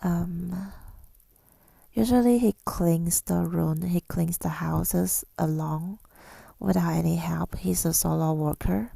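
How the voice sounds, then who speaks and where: A woman speaking in a soft, breathy whisper in an ASMR style, reflective and hesitant, pausing often, close to the microphone. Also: fairly low pitch, steady tone, dry recording. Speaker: ASMR creator. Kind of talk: ASMR, rambling personal reflection.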